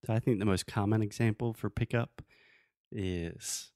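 A man's voice: lowish voice, fairly even intonation, normal speed, mellow, no room sound, clean studio sound. A man who is a native English speaker, teaching in a casual conversational way with everyday examples and checking that the listener follows. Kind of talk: casual conversational language lesson.

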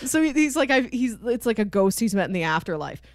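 A woman co-hosting a podcast in casual chat, telling stories in an animated way and acting out quoted dialogue. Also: on the high side, a little fast, no echo, studio quality. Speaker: podcast co-host. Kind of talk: casual chat about a TV cartoon.

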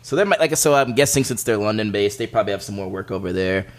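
A man's voice: on the low side, animated, fast. A man co-hosting a podcast, chatting casually about music in a rambling, opinionated way with crude humour. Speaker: podcast co-host presenting music picks.

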